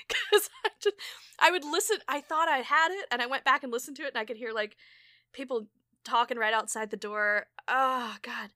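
Woman, high bright voice, very dry close sound; animated, self-deprecating podcast host in relaxed conversation, quick to laugh. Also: dry recording. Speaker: podcast host and audio drama creator. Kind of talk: casual conversational interview.

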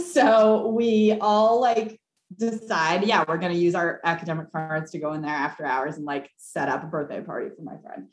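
A young woman telling a ghost story on a podcast call, animated and laughing, with lots of fillers. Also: fairly low pitch, moderate pace. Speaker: caller. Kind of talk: casual storytelling. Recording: echoey room.

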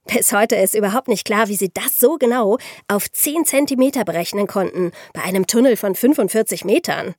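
A woman hosting a podcast, telling true-crime stories in a conversational way and addressing listeners directly, like an animated storyteller. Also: mid-range voice, fairly quick, few pauses, dry recording, studio quality. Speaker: podcast host.

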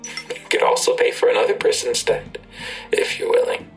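An actor playing a menacing, coldly insistent blackmailer in an audio drama, taunting and deliberate, with a gravelly, husky voice that slips into whispers. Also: male voice, faint music behind, lightly acted, low-quality recording. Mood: quietly threatening. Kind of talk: full-cast audio drama acting.

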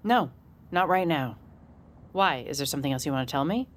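A woman's voice: low-pitched, animated, a little fast, slight background noise. A woman playing a protective, no-nonsense law enforcement sister in an audio drama, blunt and scolding, with an American accent. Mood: firm concern. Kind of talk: naturalistic audio drama acting.

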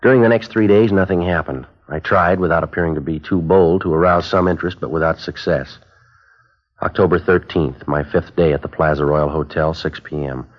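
Clipped undercover cop, deep and low, lo-fi 1950s radio drama. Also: male voice, fairly quick. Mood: calm narration. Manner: clipped, flat, matter-of-fact narration. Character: undercover police detective posing as buyer, terse. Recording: dull lo-fi old radio broadcast.

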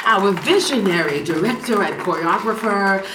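A woman playing an exasperated, sharp-tongued Broadway co-producer in an audio comedy, sardonic and emphatic. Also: mid-range voice, expressive, moderate pace, very noisy, lightly acted. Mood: bright, presenting. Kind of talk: scripted audio comedy acting. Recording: roomy, distant, music and crowd behind.

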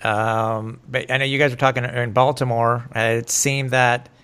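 A man's voice: mid-range voice, little pitch movement, moderate pace, a bit bright, clear, resonant tone. Man, podcast host, casual and rambling, lots of ums, self-mocking. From English-speaking, living in Montreal. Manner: rambling, filler-heavy, self-deprecating. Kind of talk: casual sports podcast chat.

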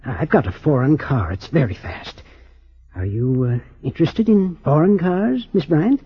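An actor playing a worried, bitter wealthy American father in his sixties, husky and hesitant, in 1950s radio drama style. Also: fairly high pitch, expressive, unhurried, full character voice. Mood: uneasy, earnest. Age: 60s. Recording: muffled old 1950s radio recording.

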